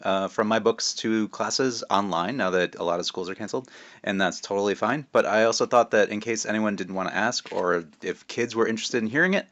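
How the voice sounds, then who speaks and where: A man's voice: fairly low pitch, fairly bright tone, lo-fi sound. A man speaking as a children's author, informally reading a storybook aloud with modest asides. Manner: self-deprecating, informal.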